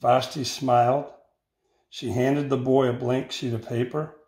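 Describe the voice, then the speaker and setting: An older man reads a children's picture book aloud in deliberate phrases, recorded indoors on a phone. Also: medium pitch, little pitch movement, a little mellow. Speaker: storybook reader. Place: indoor room with bare walls. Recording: roomy, echoing home recording.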